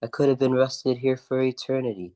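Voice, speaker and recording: An earnest tin man of the woods, voiced by a man. He speaks at a middling pitch, gentle and puzzled, in storybook radio-play style. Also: steady tone, a little fast, close to an everyday voice. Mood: grateful, earnest. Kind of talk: amateur radio play acting.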